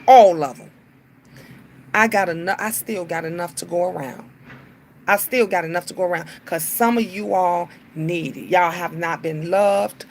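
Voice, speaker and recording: A woman, hosting a casual livestream and venting in a fired-up, repetitive way with drawn-out filler sounds. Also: medium pitch, a little hiss or hum. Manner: emphatic, repeats lines, drawn-out filler sounds. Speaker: livestream host.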